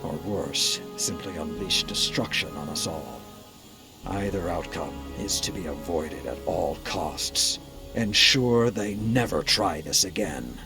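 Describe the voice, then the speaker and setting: Low-voiced stern military commander, game dialogue. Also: male voice, expressive, heavily acted. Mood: grim warning. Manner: grave, commanding, measured. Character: stern, battle-hardened male military commander. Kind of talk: video game voice acting. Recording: faint music behind. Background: game soundtrack music.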